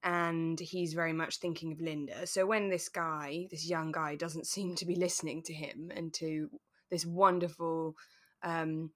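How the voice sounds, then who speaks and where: A British woman co-hosting a podcast in a relaxed, reflective chat, explaining her thoughts as she goes. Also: sits low, measured pace. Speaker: podcast co-host. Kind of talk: relaxed chat reviewing a radio drama.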